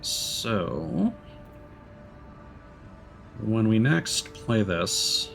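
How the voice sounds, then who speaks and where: Low-voiced man rambling on a game stream. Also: sing-song, very slow, creaky, fairly bright tone, faint music behind. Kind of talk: casual live game-stream commentary.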